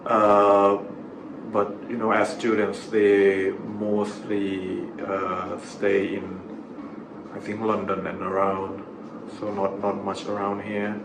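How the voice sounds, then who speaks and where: A man from Thailand, a native Thai speaker with a Thai accent, chatting casually as an interview guest in a halting way that repeats words. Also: fairly low pitch, monotone. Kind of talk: casual chat. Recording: echoey room with clear background noise.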